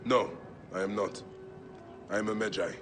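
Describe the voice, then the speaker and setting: An actor playing a grim, vengeful warrior protector of ancient Egypt in a video game, solemn and terse. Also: male voice, fairly low pitch, steady tone, normal speed, music in the background, lightly acted.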